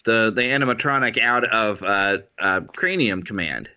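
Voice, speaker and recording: A man's voice: on the low side, normal speed, pushed and bright, clear, resonant tone, muffled recording. A man hosting a casual chat, a bit congested in the nose, laughing often.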